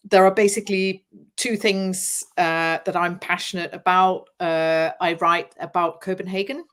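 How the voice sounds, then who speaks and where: A middle-aged woman from Denmark speaks English in a low, fairly monotone voice. She is a crime author chatting thoughtfully over a video call, with frequent hesitations. Her tone stays steady and level throughout. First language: Danish. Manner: thoughtful, hesitant with many fillers. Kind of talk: casual interview talk.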